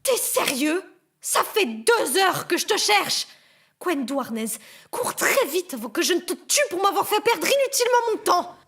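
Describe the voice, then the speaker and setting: An actress playing a bossy, teasing criminal sister, brusque and familiar, in an audio drama. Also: female voice, very high voice, very animated, measured pace, fairly bright tone, heavily acted. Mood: exasperated.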